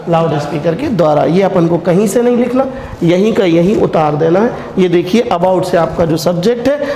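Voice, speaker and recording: A man's voice: high voice, a little mellow. A man teaching English in a didactic way, asking questions and answering them himself. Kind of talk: classroom lesson mixing Hindi explanation with slowly dictated English. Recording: echoey reverberant room with faint noise.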